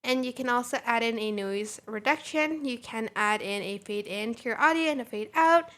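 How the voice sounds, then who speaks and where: A woman hosting a casual software tutorial, talking in an informal, chatty way. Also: a little high, normal speed. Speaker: tutorial host.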